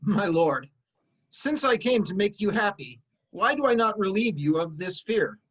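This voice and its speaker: An actor playing a humble, cheery old messenger from Corinth in a formal, expressive reading of a Greek tragedy. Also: male voice, high-pitched, measured pace, breathy edge, full character voice, rough recording. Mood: probing, kindly.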